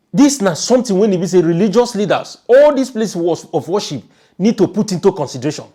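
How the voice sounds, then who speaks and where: A man's voice: high-pitched, darkish tone. A man with a Nigerian accent giving informal, emphatic storytelling commentary, often repeating his phrases. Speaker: online news commentator.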